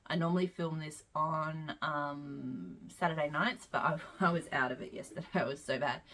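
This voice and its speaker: A woman's voice: low-pitched, normal speed, a bit bright, slight background noise. A woman with a head cold and a congested voice, casually reviewing her used-up wax melts at home in a rambling, chatty way. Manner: rambling, chatty, frequent "um" and side tangents.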